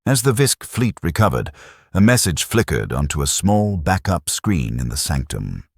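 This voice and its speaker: Brief: grave audiobook narrator, man, very deep and husky. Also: very animated, normal speed, no room sound, studio quality. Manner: grave, dramatic storytelling.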